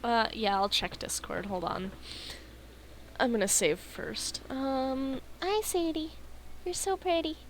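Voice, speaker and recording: A woman's voice: sits high, takes it slowly, a little hiss or hum. A woman streaming a game and chatting casually, sleepy and yawning, who laughs often and swears casually. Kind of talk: casual live-stream chat.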